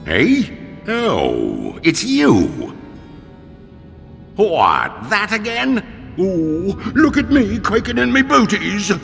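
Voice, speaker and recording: An actor playing a villainous undead zombie pirate in a video game, gravelly and theatrical, with gloating, drawn-out menace. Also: male voice, high voice, wildly swooping pitch, fairly bright tone, faint music behind, heavily acted. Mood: mocking bluster. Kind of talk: video game character acting. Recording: long cavernous reverb.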